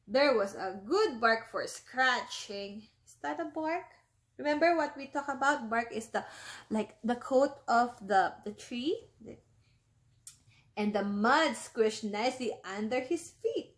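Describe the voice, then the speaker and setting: A woman, a teacher, reading a picture book aloud to young children, often stopping to explain words and ask them questions. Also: fairly high pitch.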